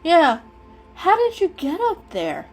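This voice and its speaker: A woman voicing a small, innocent male kitten in a squeaky, timid, earnest voice for a children's story. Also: huge pitch swings, slow pace, soft background music, exaggerated voice. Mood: eager. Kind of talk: dramatic children's story reading.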